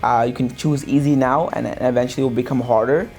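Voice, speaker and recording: A young man from Pakistan, a sales business owner, giving a relaxed interview testimonial with many fillers and restarts. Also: mid-range voice, fast. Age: young adult. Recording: faint guitar music underneath.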